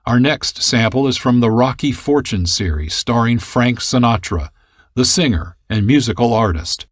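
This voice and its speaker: A man's voice: medium pitch, expressive, moderate pace, no room sound. A man reading a scripted radio introduction as the show's host in a studio.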